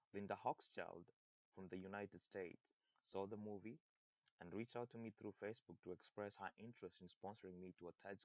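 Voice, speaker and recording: A man's voice: deep voice, no echo, highs cut off. A young man from Kenya with a Kenyan accent, giving a prepared personal speech and sometimes restarting a word. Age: young adult. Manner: reads steadily, restarts words. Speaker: student speaker.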